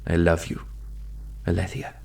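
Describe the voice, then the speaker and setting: A man's voice: deep voice, completely flat delivery, unhurried, creaky, darkish tone, full character voice. Man playing a grave, urgent divine elder brother. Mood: solemn. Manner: grave, commanding, pleading.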